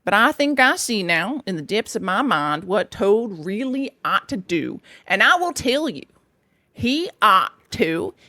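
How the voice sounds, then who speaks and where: A woman's voice: medium pitch, very animated, unhurried, sharp tone, dry recording, studio quality, heavily acted. A woman voicing a sensible, cautious male water rat in a storybook reading, earnest and thoughtful.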